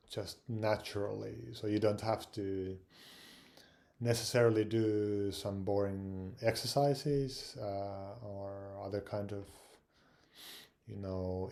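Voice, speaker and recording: A man from Finland giving casual advice on language learning, speaking English with frequent pauses, fillers and repeated words. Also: fairly low pitch, creaky, dark tone. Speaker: language learning channel host.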